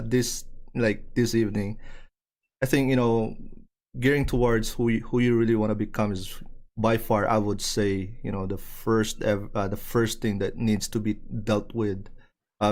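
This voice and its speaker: A man hosting a business podcast who asks his questions slowly and at length, circling around them. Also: lowish voice. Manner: meandering, hesitant, many fillers and restarts. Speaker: podcast host. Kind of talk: casual podcast interview.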